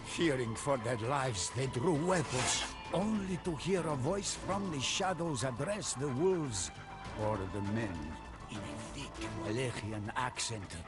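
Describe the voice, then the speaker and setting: A solemn soldier telling a dark tale voiced by a man in a medium-pitched voice. He narrates very slowly and deliberately, with theatrical weight, like a game cutscene. Music plays clearly beneath the studio narration. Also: lively intonation, lightly acted. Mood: tense. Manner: measured, theatrical. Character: soldier recounting a mysterious adventure, solemn storyteller. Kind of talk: dramatic scripted storytelling.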